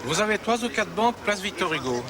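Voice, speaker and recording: A man's voice: high-pitched, sing-song, fast, background noise. A man showing a stranger on a map where the banks are. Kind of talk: scripted street dialogue.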